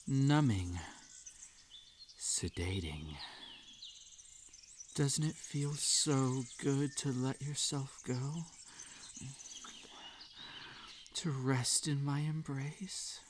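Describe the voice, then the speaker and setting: A man's voice: medium pitch, darkish tone, slight background noise. A man voicing an obsessive magical bunny in a hypnotic audio roleplay, coaxing and soothing with a desperate edge. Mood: wistful, soothing. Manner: slow, soothing, coaxing delivery. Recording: nature ambience behind the voice.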